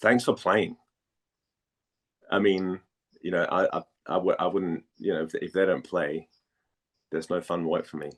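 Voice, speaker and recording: A man from Denmark speaking casually as an interviewed actor, halting and repeating phrases as he searches for words. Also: sits low, steady tone.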